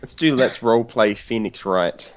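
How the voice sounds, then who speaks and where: A man's voice: medium pitch, normal speed. A man playing a tabletop game, talking casually and stating what his character says and does. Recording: dull lo-fi audio, faint music.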